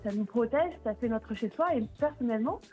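A woman on a radio debate show, sharing a thoughtful view at length and pausing often to find her words. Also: a little high, animated, slightly rough, highs cut off, faint music behind. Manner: reflective, thinking aloud with hesitations. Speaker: debate participant.

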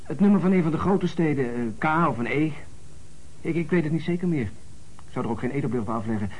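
An actor playing a sporty, obliging but vague local witness in a Dutch radio play, husky-voiced, informal and hesitant. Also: male voice, fairly high pitch, animated, quick pace, darkish tone, rough recording. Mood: unsure, recalling.

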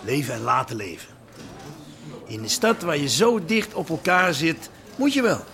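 Casting: a middle-aged cop in radio drama, bends rules, patronising, highish voice with lively swings. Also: male voice, moderate pace, vocal fry, heavily acted. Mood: patronising, calm. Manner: colloquial, patronising. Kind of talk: naturalistic radio drama acting.

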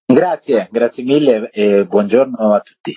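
A man's voice: medium pitch, measured pace, mellow, breathy edge, no room sound. A man, a festival organiser explaining his project as an interview guest. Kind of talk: spoken interview, telling how a project began. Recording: dull, band-limited radio call.

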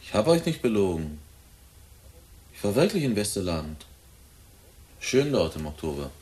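A man's voice: deep voice, sing-song, moderate pace, a little mellow, breathy edge, heavily acted. A man in a German radio drama playing a cynical 23-year-old murderer who taunts his father in a cold, flippant, insinuating way. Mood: cool taunting.

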